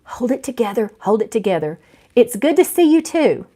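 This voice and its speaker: A woman's voice: on the high side, sing-song, moderate pace, dark tone, voice pushed up. A woman voicing a flustered young woman in a romance story who hides heartache behind forced cheer. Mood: tense.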